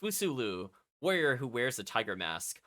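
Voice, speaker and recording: A man's voice: medium pitch, very animated, normal speed, bright, forceful tone. A man streaming a video game, reading its text aloud and chatting with casual asides to his viewers. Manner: chatty, often commenting as an aside.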